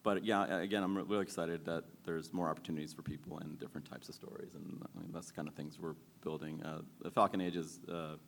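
A man's voice: a bass voice, steady tone, quick pace, gravelly, few pauses. A man in his forties, a game studio founder, talking casually on a panel with lots of fillers and self-deprecating humor. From born in Sri Lanka, raised in Maryland. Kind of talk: panel discussion.

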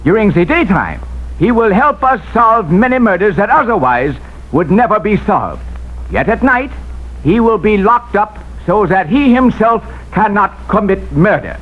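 A stern, declamatory figure making a formal plea in a 1940s radio drama. The voice sits very high for a man. The recording is old and muffled. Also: big pitch swings, measured pace, full character voice. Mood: solemn, pleading.

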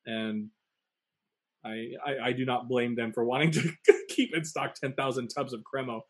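A man's voice: medium pitch, steady tone, moderate pace. A man co-hosting a casual talk show, speaking hesitantly with many fillers. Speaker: podcast co-host. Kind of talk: casual chat.

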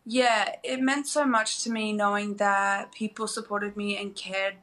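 A young Australian woman in her twenties, a college basketball player answering interview questions softly and hesitantly, with many fillers. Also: mid-range voice, steady tone, unhurried. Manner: soft, hesitant, lots of "like" and "um".

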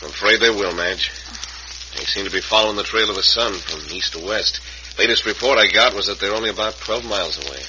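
A man plays a folksy, earnest frontier doctor in an old radio drama. His voice is low and friendly, on a muffled recording with clear noise behind it. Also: fast talker, lightly acted. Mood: earnest, concerned. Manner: folksy, plain-spoken.

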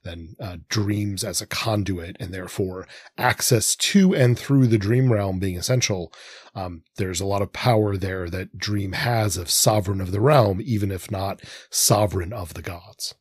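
Male podcast co-host, lowish voice, dry close sound, discursive literary talk. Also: unhurried, breathy edge, no echo. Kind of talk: literary discussion of comics and mythology.

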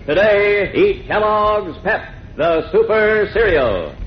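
A man's voice: high voice, animated, slow, over loud music. A man announcing a 1940s radio sponsor message with emphatic salesmanship. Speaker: commercial announcer. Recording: dull vintage radio recording.

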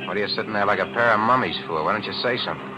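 An actor playing a cocky young crook in a 1940s radio drama, brash and tough-talking. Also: male voice, lowish voice, rapid-fire, background noise, natural voice. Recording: vintage 1940s radio broadcast.

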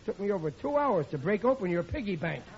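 A blustery, put-upon working-class American dad in a vintage radio comedy. His high-pitched voice swings wildly as he grumbles and exclaims. The old recording is muffled and noisy, with an audience behind him. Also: very animated, fast talker, gravelly, heavily acted. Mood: confiding. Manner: exclaiming, grumbling, colloquial bad grammar. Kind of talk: 1940s radio sitcom acting.

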